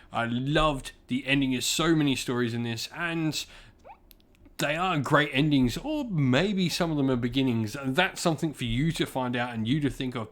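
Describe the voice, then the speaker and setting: A man with a medium-pitched voice speaks as a reviewer hosting his own channel. He sounds enthusiastic and informal, leaning on key words for emphasis. He often repeats a phrase as he builds his point. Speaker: book reviewer, channel host.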